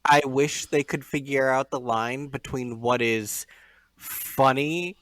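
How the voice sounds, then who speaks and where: A man's voice: medium pitch, slow, fairly bright tone, dry recording. A man in a casual podcast chat, giving dry, critical opinions with wry remarks. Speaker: podcast guest.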